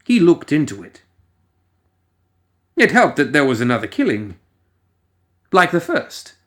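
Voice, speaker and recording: A man reading a scripted story as narrator, in a theatrical, ominous manner with lingering pauses. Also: on the high side, big pitch swings, a bit bright.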